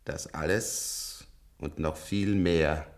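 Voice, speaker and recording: An actor playing an ancient, sardonic and imperious transplant patient in a German science-fiction radio play, with an ironic, drawn-out theatrical delivery. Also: male voice, very deep voice, fairly even intonation. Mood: bitter, brooding. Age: older.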